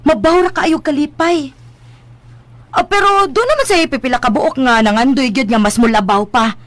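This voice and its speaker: An actress with a Cebuano Filipino accent playing an ambitious, playful young daughter in a radio drama, chatty, teasing and insistent. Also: female voice, sits high, moderate pace, no echo, close to an everyday voice. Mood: earnest, confident.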